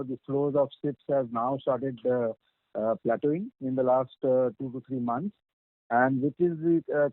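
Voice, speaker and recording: A man with an Indian accent explaining business results as an executive on a call, in halting, hesitant phrases. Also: medium pitch, dry recording. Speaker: company executive answering on an earnings call. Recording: dry tinny narrowband phone line.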